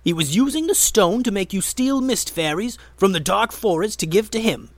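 A man's voice: high voice, animated, normal speed, dry recording, voice pushed up. A man voicing a stealthy male adventurer who explains things in an urgent, raised voice.

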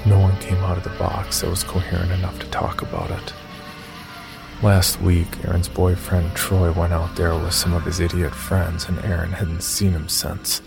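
Deep, gravelly male horror narrator. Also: monotone, moderate pace, over music. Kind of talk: scripted first-person horror story narration.

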